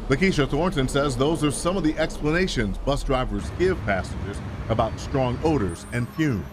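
A middle-aged man reporting an investigative news story in a measured, emphatic scripted delivery. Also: medium pitch, lively intonation, noisy background.

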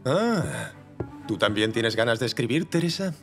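A man voicing a strict, moralizing schoolmaster in a children's cartoon, speaking in a measured way. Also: mid-range voice, wildly swooping pitch, creaky, soft background music. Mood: stern. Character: stern, moralizing village schoolmaster. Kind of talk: animated children's series dubbing.

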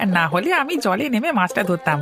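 A woman's voice: mid-range voice, very animated, moderate pace, gravelly. A woman playing an eager, loyal young village farmhand in a cartoon. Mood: eager, admiring. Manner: eager, deferential. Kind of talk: cartoon dialogue. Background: instrumental music.

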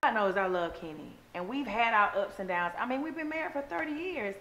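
A woman's voice: a little high, expressive, fairly quick, fairly bright tone. A woman acting an emotional wife or bride in a family stage-play melodrama. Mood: worried. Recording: very echoey room sound.

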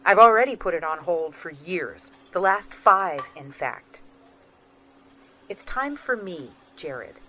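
A woman's voice: fairly low pitch, bright, forceful tone, slight background noise, exaggerated voice, low-quality recording. An actress playing a tired, guarded wife who is weighing a divorce from her husband in an audio drama. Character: Maria, estranged wife weighing divorce, weary.

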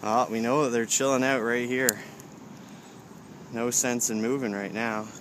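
A man's voice: medium pitch, steady tone, measured pace. A man casually narrating a fishing vlog outdoors from a kayak, muttering as if to himself in a laid-back way. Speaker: fishing show host. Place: outdoors on a kayak on open water. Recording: noisy outdoor camcorder audio with ambient noise.

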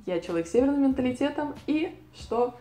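Woman, 20s, vlogger on lapel mic, warm mellow voice, casual and wry. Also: high-pitched, lively intonation, echoey room, faint music behind. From Latvia. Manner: chatty, self-ironic, with pauses and restarts. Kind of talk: casual talking-head vlog. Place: living room with bookshelf, indoors.

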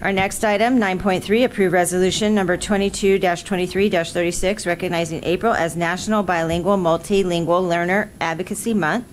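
A man chairing a school board meeting, calling motions and items in a brisk procedural manner. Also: sits high, steady tone, slight background noise. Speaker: board president.

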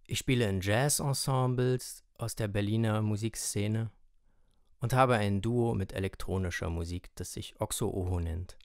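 A man's voice: fairly low pitch, normal speed, no room sound, studio quality. A man from eastern Germany, a musician, giving a prepared self-introduction. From Halle, eastern Germany. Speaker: jazz trumpeter.

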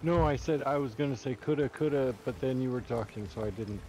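A man's voice: mid-range voice, brisk, a little mellow, rough recording. A man talking casually as a crewmate in online game voice chat. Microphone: online voice chat mic.

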